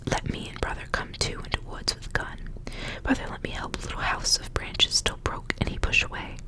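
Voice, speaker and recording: A young woman whispering in a breathy, intimate ASMR style, softly reading and chatting. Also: fairly quick. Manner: soft, intimate narration with casual asides. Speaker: ASMR reader.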